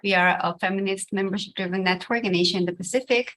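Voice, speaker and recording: A woman's voice: medium pitch, steady tone, quick pace, a bit bright. A woman introducing herself and her feminist network on a conference panel, friendly and brief.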